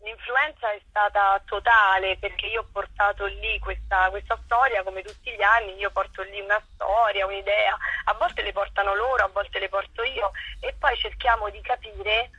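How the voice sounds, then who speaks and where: A woman's voice: mid-range voice, fairly even intonation, moderate pace, few pauses, pushed and bright, slightly rough. A woman theatre director being interviewed over the telephone, explaining her work earnestly. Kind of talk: phone interview about own work. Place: phone call to a broadcast. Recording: tinny, echoey telephone line.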